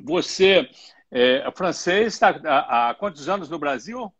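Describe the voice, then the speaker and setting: Man, middle-aged, joking host, stumbles over words, a bit high, muffled live stream. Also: expressive, slow, fairly bright tone, breathy edge. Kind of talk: live interview. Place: home room, indoors.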